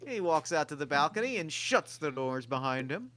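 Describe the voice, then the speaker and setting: A reader delivers the stage directions of a live table read in a plain, matter-of-fact tone. The voice sits in a middle pitch with no fuss. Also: big pitch swings, moderate pace, a bit bright.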